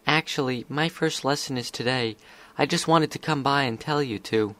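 A man's voice: medium pitch, normal speed, bright, forceful tone, close to an everyday voice. A man voicing a cheerful young female unicorn in a story reading. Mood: sheepish.

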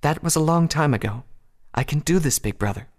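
A man voicing a gentle but resolute young pony princess in a fantasy story, earnest and formal. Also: on the high side, expressive, fairly quick, pitched above the performer's own voice. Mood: determined.

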